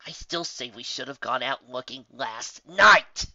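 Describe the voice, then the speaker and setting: A man voicing a brash, hot-headed female pegasus pony, loud and demanding, in a story reading. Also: medium pitch, animated, unhurried, no room sound, muffled recording. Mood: angry.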